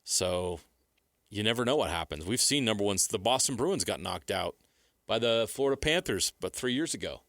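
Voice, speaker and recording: American male radio host, lowish voice, casual sports talk, dry studio sound. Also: expressive, moderate pace, a bit bright, no room sound. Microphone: close studio broadcast microphone.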